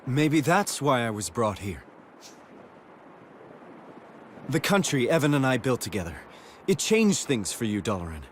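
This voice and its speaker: An actor playing a steady, world-weary, kind statesman in a video game, plain-spoken, reflective and reassuring. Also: medium pitch, moderate pace, slight background noise, natural voice. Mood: hopeful.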